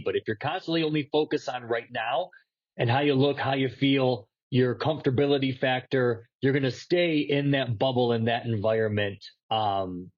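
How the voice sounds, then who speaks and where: A man's voice: mid-range voice, husky, dull audio. A man co-hosting a fitness podcast in casual chat, congested and nasal from a lingering cold, rambling and cursing loosely. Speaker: podcast co-host.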